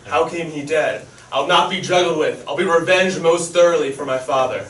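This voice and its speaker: A man acting a young nobleman bent on revenge, speaking forceful stage verse, recorded from far off in an echoey hall. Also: a little high, moderate pace, natural voice. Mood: furious.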